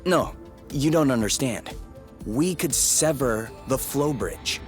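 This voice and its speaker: A man's voice: mid-range voice, animated, slow pace, soft background music. Easygoing, earnest young male partner. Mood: hopeful, tentative. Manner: casual, tentative, earnest. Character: easygoing, reassuring young man, hopeful partner. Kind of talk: video game cutscene acting.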